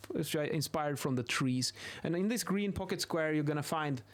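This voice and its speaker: A man in his thirties with a Swedish accent gives an informal explanation in a halting way, repeating words, close to a studio microphone in a small room. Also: a little high, lively intonation. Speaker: video host, acoustics instructor. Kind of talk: informal explainer while sketching. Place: small indoor home office. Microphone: close boom-arm studio microphone.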